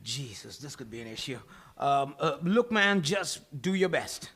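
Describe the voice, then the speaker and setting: A man's voice: medium pitch, lively intonation, unhurried, fairly bright tone, husky, heavily acted. A man playing an exasperated, fretful team leader in a conversational style at a live comedy table read.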